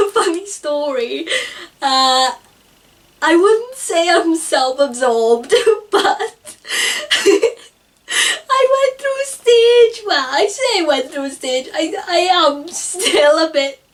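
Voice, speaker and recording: A woman's voice: high-pitched, recorded from a distance. A woman in her twenties, talking casually to camera in a rambling, self-conscious way, often breaking into giggles. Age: 20s. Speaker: vlogger. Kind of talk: unscripted vlog, casual talk to camera.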